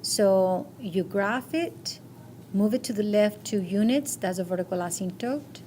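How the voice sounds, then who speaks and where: A woman's voice: medium pitch, a little slow, a little mellow. A woman teaching a math class, going over quiz answers step by step and checking with short questions. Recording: noisy classroom recording.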